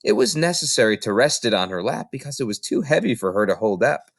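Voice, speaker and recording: Animated man reading a storybook. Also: mid-range voice, fast, dry recording, clean studio sound. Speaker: reader. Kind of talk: expressive storybook narration.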